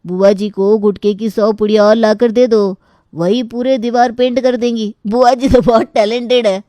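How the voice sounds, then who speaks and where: Joking, cheeky painter for a storybook, female performer, teasing delivery, mellow timbre, dry close sound. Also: fairly high pitch, steady tone, moderate pace, no echo, voice pushed up, natural voice.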